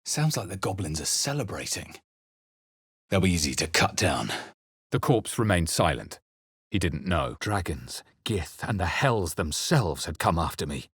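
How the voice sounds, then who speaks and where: An actor playing a grave, weary and observant adventurer in a video game, speaking in a measured, dramatic, husky way. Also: male voice, low voice, dry recording, studio quality, heavily acted. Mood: wary. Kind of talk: scripted video game narration and dialogue.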